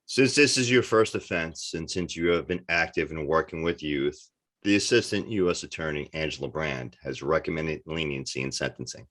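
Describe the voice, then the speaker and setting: A man acts a prison warden in a script read. His voice is deep and his delivery measured. He sounds stern, formal and fully official. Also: lively intonation, fairly bright tone. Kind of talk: screenplay table read.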